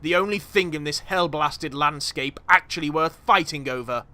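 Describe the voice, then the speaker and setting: A man voicing a cold, scheming elderly villain in a comic dub, dry, measured and scornful. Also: on the high side, bright, forceful tone. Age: very old.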